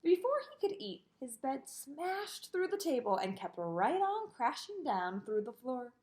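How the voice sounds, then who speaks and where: A woman reading a children's picture book aloud in an expressive way, with sing-song delivery on repeated lists. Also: high-pitched, measured pace. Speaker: storybook reader.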